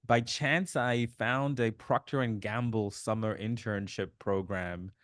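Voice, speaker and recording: A man in his fifties, originally from Sri Lanka, telling his life story as an interview guest, with relaxed fillers. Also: fairly low pitch, a little slow, no room sound, clean studio sound. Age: 50s. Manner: warm storytelling, reflective, many fillers. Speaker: guest, author. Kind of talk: casual interview chat.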